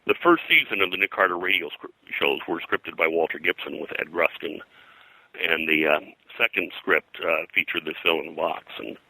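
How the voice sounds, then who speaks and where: A man being interviewed as a historian and publisher, explaining history in a halting, detail-heavy, conversational way. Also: low-pitched, creaky, bright, forceful tone, dry recording, low-quality recording.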